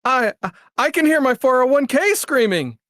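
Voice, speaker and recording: A man's voice: very high voice, lively intonation, normal speed, bright, forceful tone, no echo, clean studio sound, full character voice. A man playing a weary, anxious everyman cartoon character, hesitant and fretful, in a comedy table read. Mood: panicked. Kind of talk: live table read of a comedy radio show.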